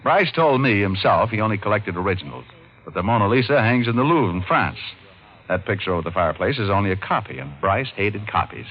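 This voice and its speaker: A man playing a sharp, wisecracking private detective in casual, slangy old-time radio style. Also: on the low side, expressive, fairly quick. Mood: insistent. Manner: casual, slangy, reasoning aloud. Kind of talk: 1940s-50s radio detective acting and narration. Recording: muffled, noisy old radio recording.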